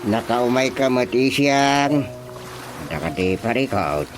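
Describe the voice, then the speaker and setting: A blustering village chairman with an Ilocano accent , acted by a man, gives orders and advice with very lively intonation. It is radio drama comedy with faint music behind. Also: mid-range voice, unhurried, close to an everyday voice. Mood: concerned.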